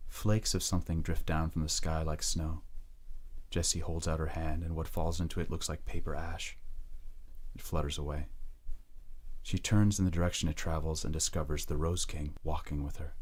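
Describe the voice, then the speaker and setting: A man reading scripted dramatic narration in a measured, deliberate way. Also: extremely low pitch, little pitch movement, a little mellow.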